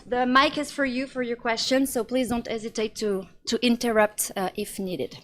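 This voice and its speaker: A woman moderating a student event, introducing guests haltingly with many restarts. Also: on the high side, fairly even intonation, fairly bright tone. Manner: hesitant, halting, lots of uh and restarts.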